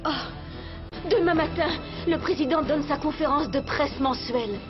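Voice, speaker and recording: High-voiced, caring cartoon heroine. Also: female voice, unhurried, lo-fi sound, over music. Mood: concerned. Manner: earnest, concerned. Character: devoted young female rebel ally, anxious, caring. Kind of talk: French cartoon dub acting.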